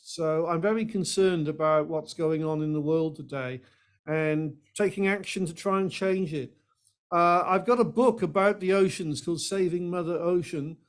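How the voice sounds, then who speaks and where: A man's voice: high-pitched, smooth. An older man of about seventy with a Welsh accent, introducing himself conversationally as an interview guest. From Wales. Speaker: guest, singer-songwriter and activist.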